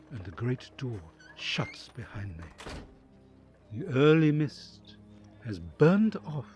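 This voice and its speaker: An actor playing a count's anguished envoy torn by conscience, narrating an audio drama in an expressive, literary, introspective way. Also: male voice, on the high side. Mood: heavy-hearted resolve.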